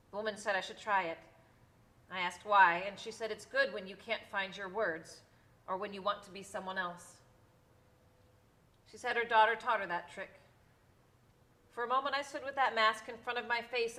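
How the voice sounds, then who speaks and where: A woman's voice: medium pitch, sharp tone, natural voice. A woman playing a weary, homesick immigrant mother who confides tenderly in her son, as dramatic stage storytelling.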